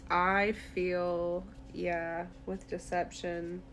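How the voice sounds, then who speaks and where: A woman's voice: on the low side, monotone, extremely slow, fairly bright tone, a little hiss or hum. A woman tarot reader speaking directly to the listener in a conversational, reassuring, therapy-minded way during an intuitive reading.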